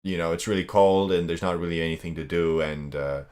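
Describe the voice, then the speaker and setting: Laid-back low-voiced streamer from Latvia. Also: male voice, fairly even intonation, fairly quick, darkish tone. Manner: laid-back, rambling, says "bro" constantly. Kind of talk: casual reaction stream.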